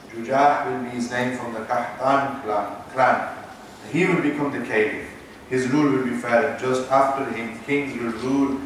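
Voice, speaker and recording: A man's voice: mid-range voice, fairly bright tone, slight background noise. A man with a British accent, an imam giving an Islamic lecture and teasing his listeners with asides. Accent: British English. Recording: echoey room, voice from far off.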